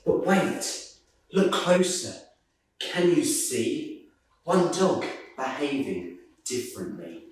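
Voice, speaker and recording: A man reading a rhyming children's picture book aloud in a measured, sing-song way. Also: on the high side, very animated, darkish tone. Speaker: storybook reader. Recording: roomy echo, distant microphone.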